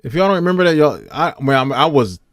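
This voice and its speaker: A man's voice: on the high side, very animated, fast talker, dry recording, clean studio sound. An American man giving casual, off-the-cuff reaction commentary in a slangy, incredulous way.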